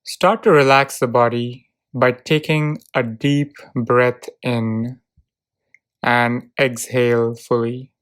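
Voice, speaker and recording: Slow, smooth guided relaxation voice. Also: medium pitch. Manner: calm, soothing, measured delivery with long pauses. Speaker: guided meditation narrator. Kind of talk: guided visualization, relaxation script.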